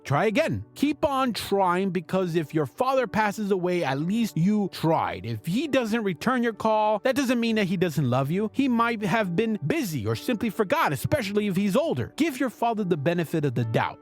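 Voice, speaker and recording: A middle-aged man hosting a show, speaking straight to listeners in an earnest, preachy, emphatic motivational monologue. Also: high-pitched. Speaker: show host and father.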